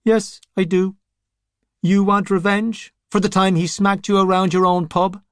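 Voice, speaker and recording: A man's voice: high-pitched, level tone, a little slow, a bit bright, smooth, no echo, clean studio sound, pitched above the performer's own voice. A man voicing a vain, scheming zombie man who speaks smugly and peevishly. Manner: smug, wheedling, peevish. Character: scheming undead male zombie, vain and sly.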